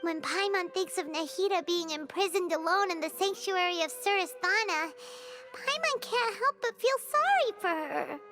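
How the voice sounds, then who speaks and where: A woman voicing a small, chatty, childlike fairy companion in a video game, with a squeaky, cutesy delivery. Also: animated, moderate pace. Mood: wistful. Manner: refers to self in third person, cutesy. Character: small chatty excitable fairy companion girl, cheerful and fretful. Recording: music behind the voice.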